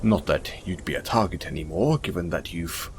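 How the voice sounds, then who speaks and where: A man playing a gentle, caring, self-conscious centaur in an intimate audio roleplay, speaking in a soothing, hesitant, apologetic way. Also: sits low, expressive, normal speed, a little hiss or hum. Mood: caring, soft-spoken.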